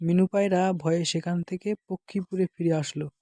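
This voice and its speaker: A man's voice: high-pitched, fairly even intonation, fairly quick, heavy background noise. A man narrating a scripted children's cartoon story as its storyteller.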